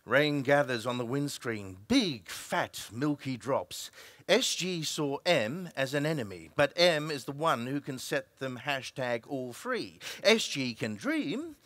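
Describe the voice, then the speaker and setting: A man's voice: medium pitch, sing-song, slow pace, a bit bright, no room sound. A man performing an emphatic, dramatic reading of a comic story as an actor. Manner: theatrical, emphatic delivery.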